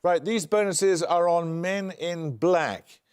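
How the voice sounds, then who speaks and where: An older man hosting a televised quiz, reading questions and introductions in a crisp, brisk manner in a studio. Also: high voice, background noise. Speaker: quiz show host.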